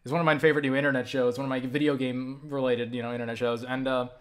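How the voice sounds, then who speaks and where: A man's voice: mid-range voice, very fast. A young man answering viewer questions in a casual vlog, rambling and swearing, with many restarts. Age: young adult. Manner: rambling, profane, animated with frequent restarts.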